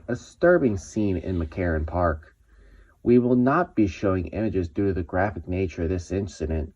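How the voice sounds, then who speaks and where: A man voicing a grave male TV news anchor with a low, dark, mellow voice who reads his lines in a measured, formal newsreader manner. The audio is dull and lo-fi, with the top end rolled off. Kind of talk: scripted news broadcast.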